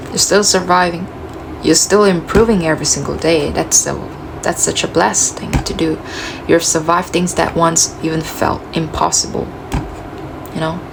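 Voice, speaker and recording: A woman with a gravelly voice giving a casual, reflective self-help talk, full of "you know" and "like", her voice cracking as she loses it. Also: on the low side, background noise. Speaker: motivational speaker.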